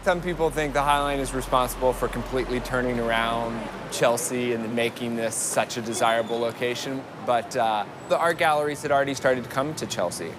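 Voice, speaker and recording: A man's voice: mid-range voice, steady tone, a little fast, hardly any pauses. A man with an American accent talking informally in a documentary interview.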